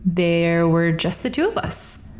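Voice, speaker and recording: A woman's voice: fairly low pitch, expressive, slow pace, darkish tone. An actress playing a brash, defensive young woman questioned at an inquest, talking in breezy slang in a radio drama. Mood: defiant.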